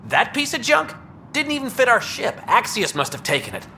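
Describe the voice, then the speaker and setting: A man's voice: very high voice, very animated, fairly quick, pushed and bright, a little hiss or hum, exaggerated voice. A man playing a nervous, talkative scavenger outlaw in a video game, edgy and colloquial, eager to placate. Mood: dismissive, bitter.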